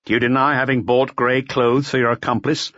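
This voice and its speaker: A man's voice: mid-range voice, flat delivery, brisk, a bit bright, no echo, muffled recording, pitched above the performer's own voice, lightly acted. A man voicing a stern, persistent police detective in an audiobook, questioning bluntly. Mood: pressing, stern. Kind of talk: audiobook character voice.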